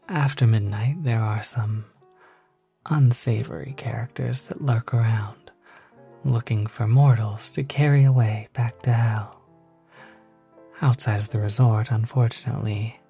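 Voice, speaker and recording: A man playing a polite, quietly sinister demon concierge in an ASMR roleplay, speaking softly in half-whispers with gentle, hesitant persuasion. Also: mid-range voice. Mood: apologetic, courteous. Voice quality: soft, breathy, half-whispered.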